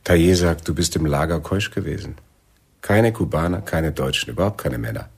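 A cold, controlled militant leader in a radio drama. His voice is extremely deep and resonant, and his delivery is terse. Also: expressive, a little fast, creaky, a little mellow, breathy edge, exaggerated voice. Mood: cold, accusing.

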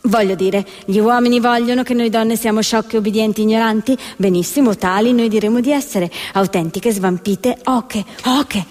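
A woman's voice: on the high side, moderate pace, few pauses, a little mellow, in a large hall, pitched below the performer's own voice, close to an everyday voice. A woman voicing a cynical, scheming rebel nun puppet in a satirical play, dry, emphatic and commanding. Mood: scheming, sardonic. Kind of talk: satirical puppet theatre acting.

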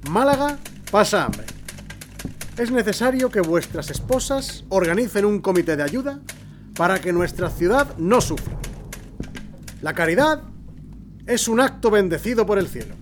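A cynical, domineering male governor in a period radio drama. His delivery is authoritative and swings widely in pitch, with prominent music behind him. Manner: authoritative, condescending. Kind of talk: audio drama acting.

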